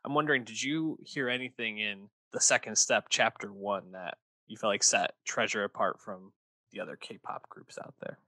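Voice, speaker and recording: A man with a fairly low, bright voice chats easily about music, sometimes stumbling over his words. The sound is dry and close to the mic. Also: fairly even intonation, normal speed, dry recording. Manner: easygoing, chatty, with small hesitations. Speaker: podcast co-host. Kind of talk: casual music review chat.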